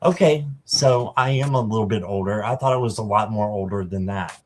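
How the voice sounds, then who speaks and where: A man in his fifties hosting a casual livestream, greeting viewers by name and filling pauses with ums. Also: on the low side, darkish tone. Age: 50s. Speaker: livestream host.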